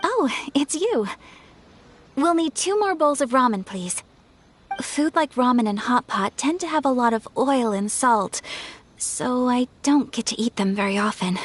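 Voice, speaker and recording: An actress voicing a refined young noblewoman in a game, soft-spoken and polite. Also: sits high, expressive, measured pace, a little hiss or hum. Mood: gentle. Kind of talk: game cutscene voice acting.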